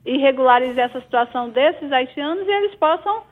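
A woman's voice: sits high, expressive, brisk. A woman mayor answering interview questions, hesitant, with many restarts and fillers, in a Brazilian Portuguese accent. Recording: tinny phone line.

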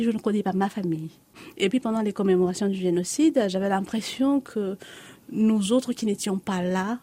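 Female artist, 30s, from Congo-Kinshasa; warm low-key tone, thoughtful, measured interview guest. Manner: measured, reflective, builds on repeated phrases. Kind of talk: reflective interview answers.

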